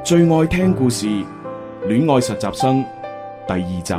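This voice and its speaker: A male Cantonese radio host with a mid-pitched, very animated voice. He tells the story in an expressive, conversational style over clear background music. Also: unhurried. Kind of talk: radio drama narration and casual commentary.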